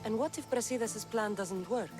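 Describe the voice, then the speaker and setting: An actress as a fierce, foul-mouthed mercenary. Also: female voice, on the high side, moderate pace, over music, lightly acted. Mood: dry. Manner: blunt, threatening, dry. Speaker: mercenary hero.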